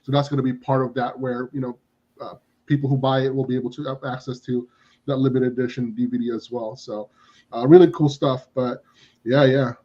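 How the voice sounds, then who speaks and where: A man co-hosting a casual stream chat, halting and full of fillers, on dull lo-fi audio. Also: mid-range voice, flat delivery, dark tone. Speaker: co-host.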